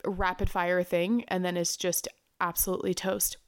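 A woman co-hosting a podcast in casual chat, sounding nasal and stuffy from a cold as she explains things in a steady, reflective way. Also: on the low side, normal speed, clean tone. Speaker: podcast co-host.

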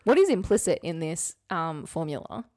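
Female podcast co-host, slightly low register, casual and playful with a mock-serious streak. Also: big pitch swings, moderate pace, warm tone. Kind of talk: casual comedic chat, working through a formula aloud.

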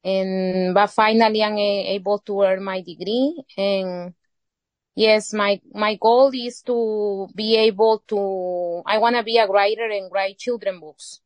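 A woman from El Salvador whose first language is Spanish, speaking English with a Salvadoran Spanish accent as an interview guest, halting and searching for words with many pauses. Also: mid-range voice, steady tone, no echo. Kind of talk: casual interview conversation.

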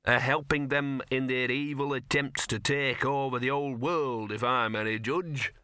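A man's voice: medium pitch, fairly even intonation, a little slow, pushed and bright, smooth, no room sound, studio quality. A man voicing a sullen little dog in an audiobook, muttering and whining self-pityingly in slangy, colloquial speech. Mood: conspiratorial. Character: grumbling, sullen small bow-legged talking dog.

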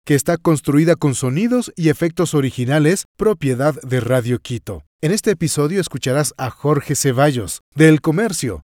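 A man's voice: on the high side, darkish tone, no room sound, studio quality. A man hosting a podcast in a conversational scripted style. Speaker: podcast host.

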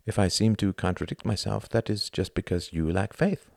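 A man's voice: on the low side, normal speed, creaky, darkish tone, no room sound, clean studio sound. A man voicing a serene, devout, self-assured man in an audiobook, speaking in a placid, pious manner. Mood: serene certainty.

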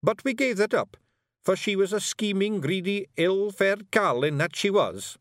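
A man's voice: high-pitched, animated, a little slow, fairly bright tone, dry recording, clean studio sound, voice pushed up. A man voicing a boisterous, cheerful little fairy clansman with a Scottish accent in a storybook reading. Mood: boastful. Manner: exclamatory, brash.

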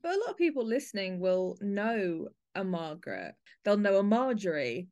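A woman's voice: medium pitch, very animated, normal speed, a little mellow. A woman with a mid-range voice hosts a podcast. She speaks in a conversational interview style, as in an informal review. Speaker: podcast host.

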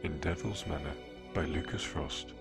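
A man reading scripted credits for a radio drama in a gravelly voice. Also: extremely low pitch, moderate pace, loud music behind the voice. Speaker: credits announcer.

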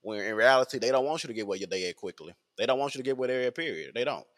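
A man's voice: mid-range voice, animated, racing, fairly bright tone. A man, talking in a rambling casual podcast monologue full of fillers and repeats. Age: 20s.

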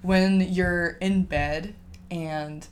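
Chatty young interviewer, mid-pitched. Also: fairly even intonation, very slow, slight background noise. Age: 20s. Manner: chatty, asks personal questions. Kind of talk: casual conversation.

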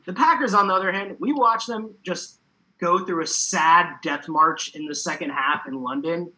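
Bright, forceful, playful male sports bettor. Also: high-pitched, moderate pace, poor audio. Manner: playful, hammy, talks in informal slang. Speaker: recurring podcast guest giving betting picks. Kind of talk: casual sports betting chat.

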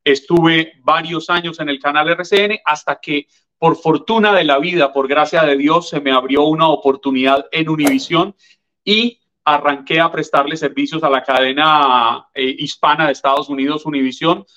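A Colombian man in his fifties, a journalist answering interview questions in a measured, articulate way. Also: on the high side, fairly even intonation, bright, forceful tone, highs cut off. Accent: Colombian, from Tolima. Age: 50s. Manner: articulate, measured, formal address. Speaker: guest journalist.

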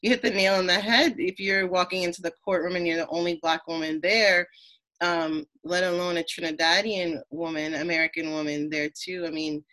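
A woman's voice: fairly low pitch, fairly even intonation, moderate pace, bright, forceful tone, poor audio. A woman from New York hosting an interview, asking questions and encouraging her guest with affirming interjections. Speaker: interviewer, host. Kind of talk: conversational interview.

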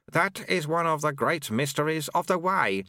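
Elderly storybook monk, voiced by a male narrator: raised pitch, serene, wry, deadpan sage. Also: moderate pace, dry recording, studio quality. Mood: sagely deadpan. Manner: sage, deadpan, quoting proverbs.

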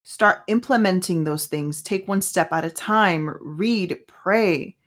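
Woman, native Spanish speaker, podcast host, earnest, conversational, somewhat low voice. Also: slow. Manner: earnest, conversational, self-questioning. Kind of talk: casual faith podcast monologue.

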